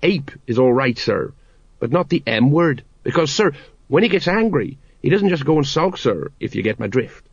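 A man's voice: medium pitch, expressive, normal speed, breathy edge, no echo, dull audio. A man voicing an anxious, deferential middle-aged police sergeant who is hesitant and pleading, in a comic audiobook. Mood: urgent warning. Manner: hesitant, pleading, urgent, peppered with sir.

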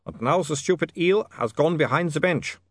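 A man's voice: a little high, expressive, moderate pace, breathy edge, dry recording, voice pushed up. A man voicing a panicky vampire inventor. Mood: sheepish. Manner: agitated, pleading, trembling. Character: nervous male vampire inventor with a foreign accent.

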